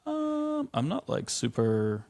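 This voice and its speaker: A man's voice: fairly high pitch, slow pace, creaky. A man in his twenties from New Zealand, a podcast guest who chats casually and laughs often. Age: 20s. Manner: relaxed, laughs often, says "like" a lot.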